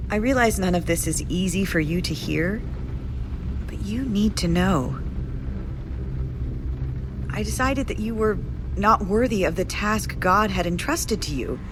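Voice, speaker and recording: An actress playing a bitter, resolute estranged lover turned zealot in an audio drama, speaking solemnly with weighty pauses. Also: female voice, medium pitch, background noise, close to an everyday voice.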